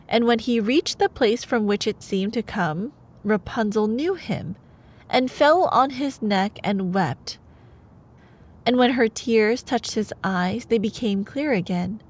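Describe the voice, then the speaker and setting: A woman acting as a sweet, playful girlfriend with a fairly high voice and a very unhurried pace. She sounds expressive and opinionated, in an intimate ASMR bedtime roleplay. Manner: expressive, exasperated asides between measured reading. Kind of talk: intimate ASMR roleplay, storybook reading with commentary.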